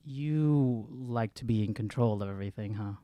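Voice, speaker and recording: A man with a dark, relaxed, fairly low voice plays a tabletop game. He improvises dialogue conversationally, recorded very dry. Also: normal speed, no echo. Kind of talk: casual improvised in-character dialogue.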